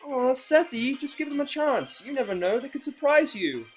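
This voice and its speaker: A man's voice: very high-pitched, sing-song, moderate pace, faint music behind, voice pushed up, rough recording. A man voicing a bubbly, sweet young female cartoon pony in a fan audio drama. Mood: coaxing, sweet.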